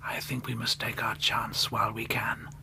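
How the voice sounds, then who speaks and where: Whispering, intense secretive suitor. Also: male voice, medium pitch, monotone, moderate pace, sharp tone. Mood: hushed persuasion. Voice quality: breathy, half-whispered. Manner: urgent, earnest, formal. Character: secretive, insistent suitor, soft-spoken and intense. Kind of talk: period radio drama acting.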